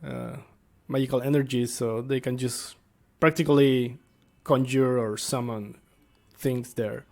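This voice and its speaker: Guy doing a casual gaming vlog, talks slow and kinda gravelly, keeps hunting for words. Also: mid-range voice, steady tone, darkish tone. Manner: halting, searching for words. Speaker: tabletop game master and video host. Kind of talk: casual unscripted explainer vlog.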